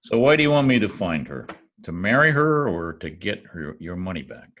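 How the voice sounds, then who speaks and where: A man playing a wisecracking private detective in an old-time radio play, with dry, deadpan quips. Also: sits low, normal speed, highs cut off, full character voice. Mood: wry. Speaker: actor in an amateur radio-play reenactment. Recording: remote home recording over video call.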